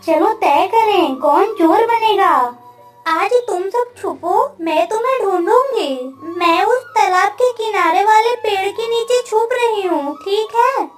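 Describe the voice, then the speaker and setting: Woman voicing a very high-pitched, stubborn little village girl. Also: slow pace. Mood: playful. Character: spirited, stubborn seven-year-old village girl. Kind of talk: Hindi children's cartoon dialogue. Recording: roomy, slightly distant sound, faint music.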